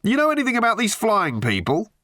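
A man's voice: high voice, huge pitch swings, brisk, sharp tone, no echo, studio quality, pitched above the performer's own voice, full character voice. A man voicing a garrulous male tabloid journalist who babbles nonsense endearments on the phone in an audiobook. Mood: gushing. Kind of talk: audiobook character voice.

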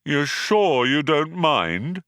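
A man's voice: fairly high pitch, big pitch swings, very slow, a bit bright, no room sound, clean studio sound, pitched above the performer's own voice, heavily acted. A man voicing a gloomy, self-pitying robot in a storybook reading, droning hopelessly and pathetically. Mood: pathetic, probing.

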